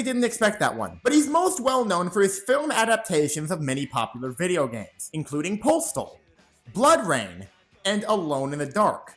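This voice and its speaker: A man hosting a comedic movie review, narrating a script with sarcastic, deadpan asides and sudden dramatic reactions. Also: sits high.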